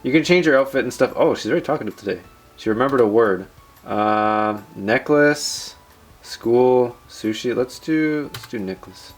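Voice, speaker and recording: Guy doing a casual tech demo, kinda rambly, playful little asides, average pitch. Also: moderate pace, soft background music. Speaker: tech channel host. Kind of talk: casual chatty software demo commentary. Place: indoors at a desk in a room.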